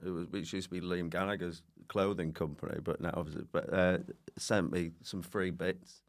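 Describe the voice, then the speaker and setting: A man chatting casually as a comedian guest, telling stories in an animated, self-mocking way. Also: sits low, normal speed. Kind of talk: casual comic chat.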